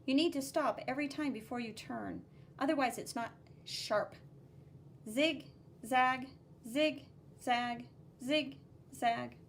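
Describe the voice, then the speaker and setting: High-voiced woman reading slowly to children. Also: gravelly, a little hiss or hum. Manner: simple, instructive, sing-song emphasis on key words. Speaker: art teacher reading a picture book. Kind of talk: expressive read-aloud with drawing commentary for children.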